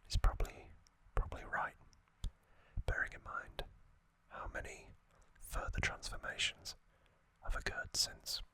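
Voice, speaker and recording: A man whispering trivia as an ASMR host into a close microphone, softly, with frequent ums and uhs. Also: a little slow, rough recording. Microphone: close sensitive mic.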